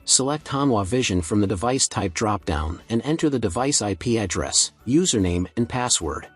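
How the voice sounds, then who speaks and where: A man reading a scripted software tutorial in a measured, procedural instructional manner. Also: lowish voice, expressive, husky, soft background music.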